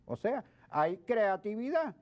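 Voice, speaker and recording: A man speaking as a guest expert in an interview, explaining things in a halting way with repeated words. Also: high-pitched, very animated. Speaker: guest expert, history professor. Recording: dull lo-fi sound.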